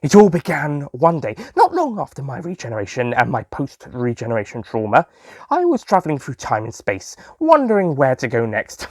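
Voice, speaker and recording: Man acting an animated, chatty young Time Lord storyteller. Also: mid-range voice, moderate pace, no echo. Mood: reminiscing. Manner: chatty, self-amused asides, giggly. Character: young excitable alien Time Lord, sweet-obsessed shopkeeper, jolly storyteller. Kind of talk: first-person audio story narration in character.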